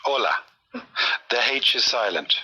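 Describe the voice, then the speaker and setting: A man in his forties from Ireland with an Irish accent, chatting casually and patiently slowing down to explain grammar. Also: mid-range voice, expressive, sharp tone, a little hiss or hum. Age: 40s. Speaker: conversation partner. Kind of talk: casual chat.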